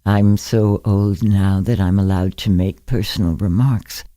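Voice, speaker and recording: Guy doing a frail old lady in an audiobook story, gracious and frank, slow, mellow. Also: fairly low pitch, fairly even intonation, no room sound, studio quality, close to an everyday voice. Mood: frankly admiring. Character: frail, blind, courteous woman of ninety-seven. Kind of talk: storybook character reading.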